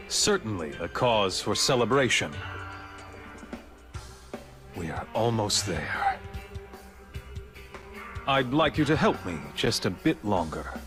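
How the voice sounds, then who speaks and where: A commanding director from a video game speaks in a low voice that moves with deliberate swells. He is composed, formal and courteous, choosing words with measured authority. Clear background music plays under him.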